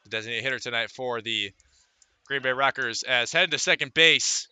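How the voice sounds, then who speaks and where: Bright, forceful male baseball announcer. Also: mid-range voice, moderate pace, clear, resonant tone, no echo. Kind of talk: live radio sports commentary.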